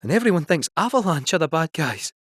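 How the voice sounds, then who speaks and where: An actor playing a small, cheeky talking robot cat in a video game, with a Scottish accent and a jaunty, theatrical delivery. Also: male voice, a little high, very animated, a little fast, no room sound, clean studio sound. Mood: earnest. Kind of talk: animated video game character dialogue.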